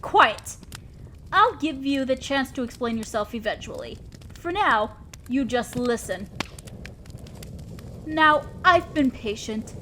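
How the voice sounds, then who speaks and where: High-voiced, imperious demon lady boss, acted by a woman. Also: animated, slow, long pauses, pushed and bright, slight background noise, heavily acted. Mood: stern, threatening. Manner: commanding, condescending, scolding. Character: imperious female demon lord, stern, exacting boss of hell. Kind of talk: scripted roleplay audio drama monologue.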